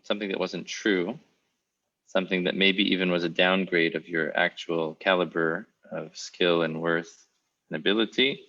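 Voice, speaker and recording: A man's voice: deep voice, bright, forceful tone, muffled recording. A man hosting an interview podcast, asking long, rambling, reflective questions. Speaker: podcast host. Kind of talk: casual interview talk.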